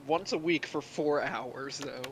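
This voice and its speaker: A young man, a student and friend of the host, relaxed and amused as he tells a story in casual chat. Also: a little high, unhurried, background noise.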